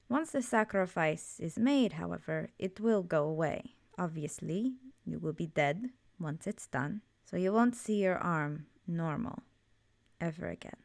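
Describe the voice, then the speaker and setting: A woman playing an ancient, ominous yet fond swamp witch in an ASMR roleplay, soft-spoken and breathy, often slipping into a whisper, with a measured, cryptic manner. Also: fairly low pitch, no room sound, clean studio sound. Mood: calm, matter-of-fact. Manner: measured, cryptic, solemn, addressing listener as dear.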